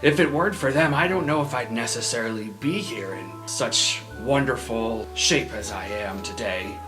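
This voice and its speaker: A man voicing a humble young male student who gives a gala speech in a halting way, pausing often. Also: mid-range voice, over music, natural voice. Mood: modest. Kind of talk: improvised tabletop roleplay.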